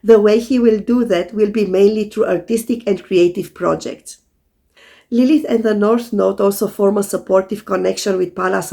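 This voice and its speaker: Hoarse woman astrologer with a gravelly voice. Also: mid-range voice. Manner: calm, methodical explaining to viewers. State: hoarse from a sore throat. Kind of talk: scripted explanatory video narration.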